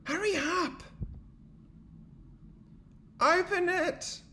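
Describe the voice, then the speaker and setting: A man voicing impatient, excitable little forest animals from a storybook, in shrill exclamations. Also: extremely slow, full character voice.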